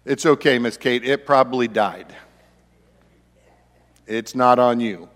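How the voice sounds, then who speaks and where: A man's voice: medium pitch, takes it slowly, a bit bright, long reverb tail. A man in his fifties preaching a sermon as pastor, in a conversational way with chatty asides to the congregation. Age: 50s. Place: church sanctuary.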